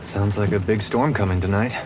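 An actor playing an earnest, reassuring big-city police officer in a noir audio drama. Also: male voice, lowish voice, fairly even intonation, fairly quick, background noise, lightly acted.

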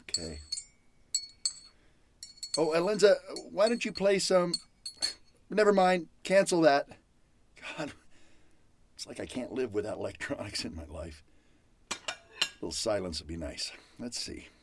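A man's voice: medium pitch, expressive, unhurried, long pauses. An actor playing a weary, jumpy man who mutters and swears to himself in an old-time radio style horror drama. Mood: breezy, halting, then weary, irritated. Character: weary, jumpy, grumbling man living alone with gadgets. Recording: loud background noise.

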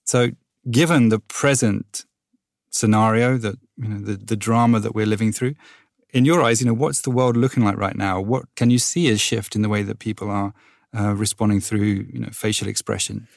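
A man's voice: on the low side, steady tone, normal speed, vocal fry, dry recording, studio quality. A man hosting a podcast, narrating a scripted intro and then asking questions conversationally with fillers. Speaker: podcast host.

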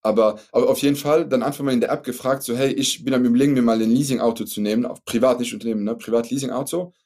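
This is a man with a Luxembourgish accent whose first language is French, chatting as a podcast guest. His voice is dark and mellow. He pauses often and circles back with fillers and restarts as he explains. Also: medium pitch, steady tone. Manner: halting, many fillers and restarts. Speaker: startup founder guest. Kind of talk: casual podcast interview.

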